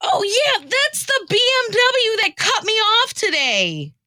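A woman's voice: very high-pitched, wildly swooping pitch, slow pace, pushed and bright, dry recording, studio quality, exaggerated voice. A woman playing a flustered, exasperated, guilt-ridden woman roused at night in a comedic radio play. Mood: reluctant, bewildered. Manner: dramatic, exasperated.

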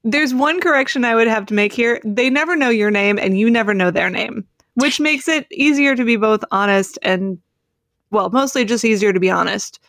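A woman on a podcast panel chatting casually and explaining things in halting, thoughtful phrases. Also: a little high, a bit bright, dry recording, studio quality.

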